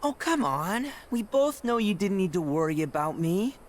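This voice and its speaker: Eager young creature, earnest boyish game hero, lively pitch, faint noise behind. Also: male voice, moderate pace. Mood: proud. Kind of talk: video game character acting.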